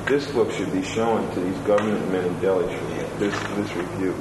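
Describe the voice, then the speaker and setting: A man's voice: deep voice, steady tone, fairly quick. A man reading letters and reviews aloud, plain and attentive, adding his own asides. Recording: roomy room with clear background noise.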